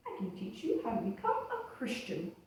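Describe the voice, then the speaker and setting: A woman's voice: on the high side, huge pitch swings, moderate pace, darkish tone. Lady doing a talking bible puppet, scolding and preachy, really swoopy voice, far off in an echoey room. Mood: earnest teaching. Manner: admonishing, instructive, emphatic. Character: talking dusty Bible, bossy, reproachful teacher. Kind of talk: church puppet show dialogue. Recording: echoey room, distant mic, faint noise.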